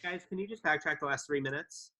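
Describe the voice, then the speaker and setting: A woman's voice: deep voice, fast, gravelly, bright, forceful tone. A woman co-hosting a podcast in casual banter, with dry, teasing humour. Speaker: podcast co-host.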